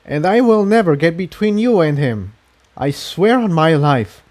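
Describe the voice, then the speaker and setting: A man's voice: on the high side, expressive, darkish tone, pitched above the performer's own voice. A man voicing a shy, guilty young female pony in a story reading, earnest, awkward and hesitant. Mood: sincere. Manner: hesitant, rushed confessing.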